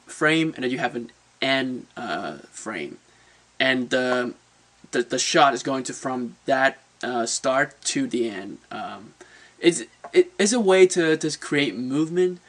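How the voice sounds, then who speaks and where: A man's voice: medium pitch, fairly bright tone. A man giving a casual, opinionated movie review as a channel host, talking informally and often repeating words as he searches for phrasing.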